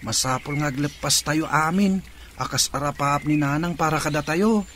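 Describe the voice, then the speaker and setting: An upbeat, determined teenage brother acted by a man in a radio drama. The voice is on the high side, with faint ambient noise in the recording. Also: normal speed, fairly bright tone, lightly acted. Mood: upbeat, admiring. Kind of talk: Ilocano radio drama acting.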